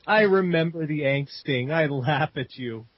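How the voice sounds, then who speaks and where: A man's voice: fairly high pitch, unhurried, no echo. Swearing joking man in his thirties. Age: 30s. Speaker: commentary guest. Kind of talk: casual group commentary. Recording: dull lo-fi online call audio.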